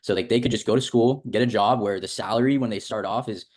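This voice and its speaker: A young man hosts a casual interview. His voice sits a little low and he talks very quickly, rambling informally and leaning on filler words as he goes. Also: a little mellow. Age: young adult. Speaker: host interviewing a student of a business academy.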